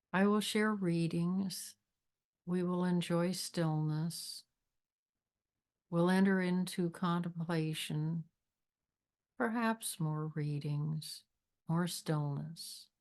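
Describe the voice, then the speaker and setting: A woman leading a guided meditation with spiritual readings, speaking in a measured, deliberate way with long pauses. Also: lowish voice, darkish tone. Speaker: meditation leader, spiritual minister.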